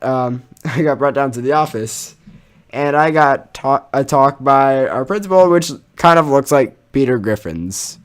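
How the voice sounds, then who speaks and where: A young man with a mid-pitched voice tells a personal story online. His delivery is casual and animated, full of slang, exaggeration and swearing. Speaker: storytelling YouTuber.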